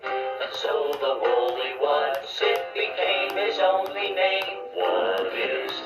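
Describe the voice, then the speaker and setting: Low, slow woman singing for children. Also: very animated. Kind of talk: children's story song. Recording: roomy, distant, dull playback under music.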